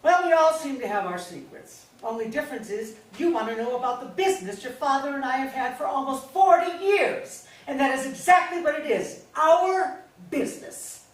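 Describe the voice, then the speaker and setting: A woman's voice: high voice, moderate pace, hardly any pauses, lightly acted. A woman acting a stern, secretive older wife and mother in a staged play reading. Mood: firm, guarded. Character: stern, secretive wife and mother, fiercely furious when crossed. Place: indoor staged reading, recorded from far off. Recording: echoey hall, distant mic, faint noise.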